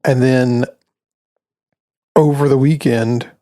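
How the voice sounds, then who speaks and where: A man in his late twenties co-hosting a casual podcast, telling stories in a relaxed, rambling way. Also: mid-range voice, takes it slowly, no echo. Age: 20s. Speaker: podcast co-host. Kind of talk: casual chat.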